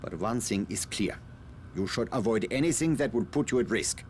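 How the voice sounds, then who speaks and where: Video game scientist, played by a man: low voice, formal and precise, politely condescending, slippery, ambient noise underneath. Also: expressive, normal speed, darkish tone, lightly acted. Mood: grave warning. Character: evasive self-justifying male scientist, polite and condescending. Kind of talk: dramatic video game cutscene acting.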